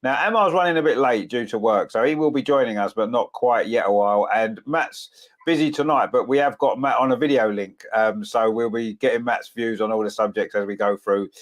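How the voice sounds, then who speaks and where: A man with a cold, sounding croaky as he hosts a casual football chat show, full of "of course" and tag questions. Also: medium pitch, fairly quick. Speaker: podcast host.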